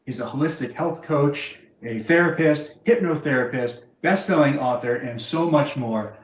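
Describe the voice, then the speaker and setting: A man's voice: mid-range voice, normal speed, slight background noise. A man hosting a podcast interview in a conversational style. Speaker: podcast host. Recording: roomy, echoing sound.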